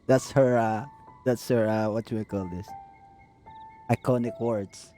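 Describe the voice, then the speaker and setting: A woman's voice: very deep voice, steady tone, measured pace, mellow. A woman streamer casually commenting over a game, playful and chatty with the characters. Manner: laughing, playful, chats along with the characters. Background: game music.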